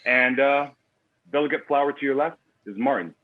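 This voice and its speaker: A man's voice: mid-range voice, moderate pace, close to an everyday voice. A man playing a menacing, foul-mouthed card game boss with a violent temper and a blunt, domineering, taunting delivery in a drama table read. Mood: commanding. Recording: thin phone-like radio-sounding line.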